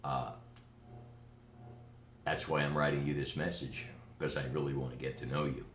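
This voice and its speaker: Deep bragging new york guy talking to camera, roomy echo, faint hum behind. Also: flat delivery, fairly bright tone. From New York City. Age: older. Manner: boastful, emphatic, slow deliberate delivery. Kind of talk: direct-to-camera video message. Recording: echoey room with faint ambient noise.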